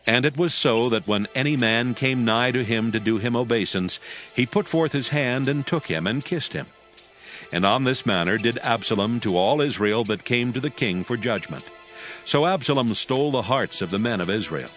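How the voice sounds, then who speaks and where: A man narrating a dramatized scripture reading in a solemn, measured manner. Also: mid-range voice, lively intonation, a bit bright. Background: music.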